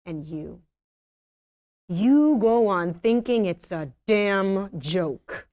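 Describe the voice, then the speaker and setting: A woman's voice: medium pitch, expressive, drawn-out words, darkish tone. A woman playing an angry, fed-up partner scolding her man, dramatic and confrontational, at a comedy table read. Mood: accusing, bitter.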